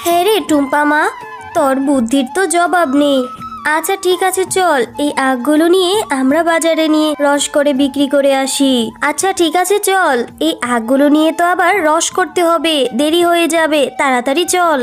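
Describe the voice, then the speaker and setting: Woman voicing cartoon fox mother, high-pitched, gentle, affectionate, hard-working, faint music underneath. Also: moderate pace, lightly acted. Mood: motherly, thoughtful. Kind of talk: children's cartoon voice acting.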